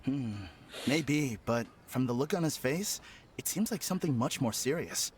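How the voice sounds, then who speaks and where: A man's voice: medium pitch, animated, moderate pace, a little hiss or hum, natural voice. A man voicing a chatty male townsman in a video game who wonders aloud about the news around him. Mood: curious. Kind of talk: game voice acting.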